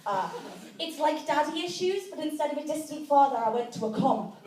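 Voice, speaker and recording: High-pitched Geordie woman doing live stand-up. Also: animated, a little fast. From Newcastle area, North East England. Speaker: stand-up comedy performer. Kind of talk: live stand-up comedy monologue. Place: live indoor event with an audience. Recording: echoey room, distant mic, audience noise. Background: audience laughter.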